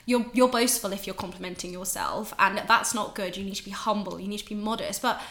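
A woman's voice: mid-range voice, brisk, a bit bright, reverberant. A woman in her twenties with an English accent, a podcast guest talking candidly and casually, swearing now and then. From England, raised in Ireland. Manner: chatty, candid, swears, laughs often.

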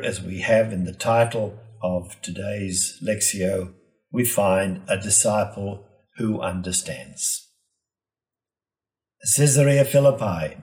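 Male priest, lowish voice, expository gospel reflection, measured teaching delivery, emphasis on key phrases. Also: a little mellow, poor audio.

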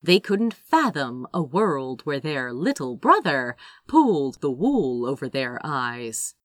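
A woman reading a story aloud as an audiobook, with expressive, theatrical scripted narration. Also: extremely low pitch, takes it slowly, no room sound. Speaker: audiobook reader.

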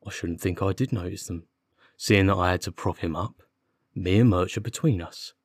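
A man's voice: low-pitched, normal speed, no echo, clean studio sound. A man voicing a defensive, dim working-class police constable with colloquial, ungrammatical speech in an audiobook. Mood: aggrieved. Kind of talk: audiobook character dialogue.

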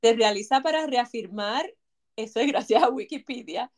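A woman's voice: a little high, moderate pace. A woman co-hosting a casual talk show, chatty and joking as she reads out facts. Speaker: podcast co-host. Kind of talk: casual chat.

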